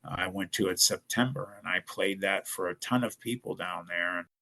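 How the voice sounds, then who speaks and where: A man's voice: low voice, moderate pace, gravelly, bright, forceful tone, rough recording. A man from Ohio, a podcast guest, tells his story in an earnest, rambling way, often restarting his sentences. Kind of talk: casual storytelling.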